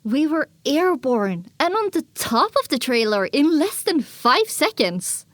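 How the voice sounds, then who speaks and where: A woman narrates a scripted story in the first person. Her voice sits a little high. The sound is dry, with little room around it. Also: very animated, measured pace, a bit bright, dry recording.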